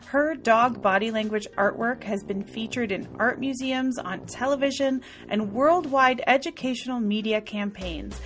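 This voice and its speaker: A woman podcast host introducing and interviewing a guest in a clear, prepared way. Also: a little high.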